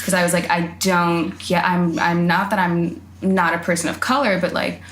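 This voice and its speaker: A young woman with a lowish voice tells her story in a casual chat and voices her past thoughts aloud. The recording sits in an echoey room with a faint hiss. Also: level tone, moderate pace, fairly bright tone. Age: young adult. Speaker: podcast guest.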